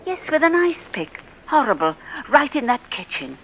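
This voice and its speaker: A woman's voice: high voice, sing-song, normal speed, fairly bright tone, slight background noise, full character voice, poor audio. An actress playing a brusque, nosy working-class woman who calls people dearie, in a 1940s radio drama. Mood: gossipy. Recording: old radio broadcast with music.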